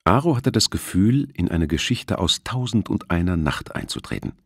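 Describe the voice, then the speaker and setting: A man narrates a novel in a low, slightly rough voice. His intonation moves around a lot as he tells the scripted story. The recording is dry and close. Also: animated, moderate pace, no echo. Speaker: audiobook narrator.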